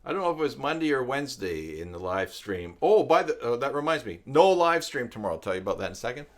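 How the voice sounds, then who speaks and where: A man's voice: medium pitch, sing-song, fast talker. A middle-aged man hosting a casual live stream, rambling and thinking aloud, often repeating himself. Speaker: live stream host, web tutorial maker.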